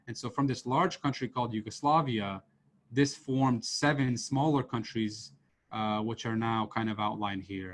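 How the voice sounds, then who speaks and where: A man's voice: fairly low pitch, slight background noise. A man in his thirties from Serbia, giving a casual lecture to students over a video call with his camera off, full of fillers and restarts. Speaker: professor giving a seminar. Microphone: computer mic on a video call, camera off.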